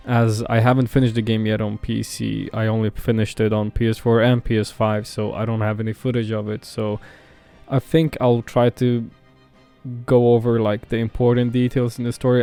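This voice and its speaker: A young man talks through a game in loose, profane voice-over commentary, leaning on "like" as a filler. His voice is smooth and mellow and stays fairly level in pitch. The delivery is casual and unscripted. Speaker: gaming YouTuber.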